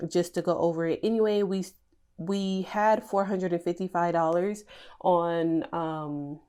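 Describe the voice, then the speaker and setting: A young woman whose voice sits a little low, sounding nasal and stuffed up from a cold. She talks in a relaxed, chatty way, with plenty of fillers, like a host talking through her planner. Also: unhurried, clear, resonant tone. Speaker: budgeting channel host. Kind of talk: casual talk over budget planning.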